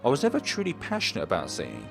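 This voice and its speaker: A man voicing a guarded young female singer who speaks in a formal, measured way. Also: medium pitch, huge pitch swings, creaky, over music. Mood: reserved.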